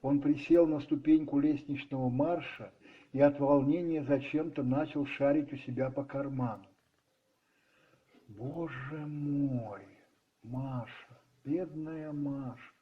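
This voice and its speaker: A man narrating a radio play as a storyteller, expressive and reflective, with pauses and musing asides. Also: mid-range voice. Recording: slightly muffled recording with music underneath.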